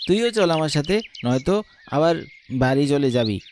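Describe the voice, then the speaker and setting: A man plays a teasing village boy in a cartoon, using a raised, boyish pitch. He is defensive and taunting toward the other children. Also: expressive, normal speed, darkish tone, a little hiss or hum, natural voice. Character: teasing village boy who is "it" in hide-and-seek.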